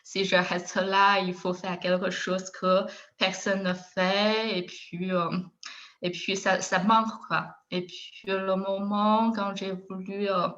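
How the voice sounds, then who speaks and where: A woman from China with a Chinese accent, a native Chinese speaker telling her story casually in halting French, searching for words and often giggling. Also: medium pitch, level tone, a bit bright, dull audio. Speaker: interview guest, former engineer turned Chinese teacher.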